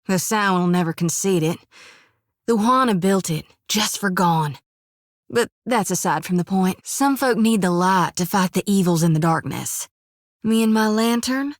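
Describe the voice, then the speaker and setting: A woman's voice: mid-range voice, dry recording, studio quality. An actress voicing a young woman priest who carries a soul-reaping lantern, speaking with a folksy drawl, earnest and devout. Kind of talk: video game voice acting. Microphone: close studio mic.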